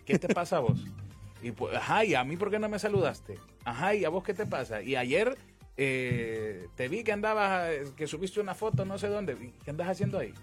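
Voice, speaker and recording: A man's voice: high-pitched, very animated, normal speed. A man, speaking as a priest in an informal religious conversation, emphatic and repeating phrases as he explains. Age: 20s. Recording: music under the talk.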